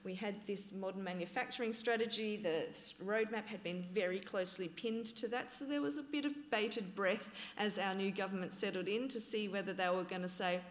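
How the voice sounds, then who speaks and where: A woman giving a conference talk in a relaxed, hedging way, with frequent "um" and "I guess". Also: medium pitch, fairly quick, few pauses, roomy sound, big-room sound. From Australia. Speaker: conference presenter.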